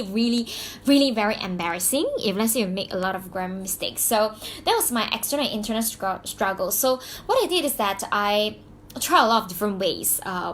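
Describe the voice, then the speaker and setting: A woman's voice: mid-range voice, fairly bright tone, a little hiss or hum. A woman from Malaysia with a Malaysian accent, whose first language is Mandarin, telling her own story to camera in a chatty way with many fillers and restarts. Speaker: vlogger and coach.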